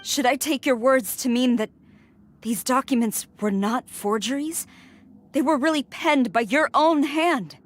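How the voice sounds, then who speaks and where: Earnest, high-voiced warrior. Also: female voice, measured pace. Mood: pleading. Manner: solemn, formal, intense. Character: earnest, dutiful young female warrior, wounded and resolute. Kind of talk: video game drama acting.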